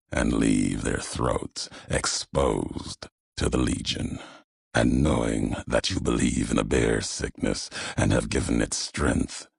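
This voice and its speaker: Casting: brooding, fatalistic game courier, heavy fry and husky grain, grave, cryptic, unhurried menace. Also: male voice, dry recording, clean studio sound, full character voice. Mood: menacing. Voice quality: deep, gravelly, raspy. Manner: slow, solemn, cryptic, clipped phrases. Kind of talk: video game character dialogue.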